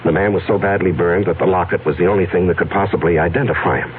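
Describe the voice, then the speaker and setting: An actor playing an anguished fugitive confessing to his fiancée in a 1970s radio drama, halting and earnest with heavy pauses. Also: deep voice, fairly even intonation, lightly acted. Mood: troubled, confessing. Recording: narrow-band vintage radio broadcast, faint hiss.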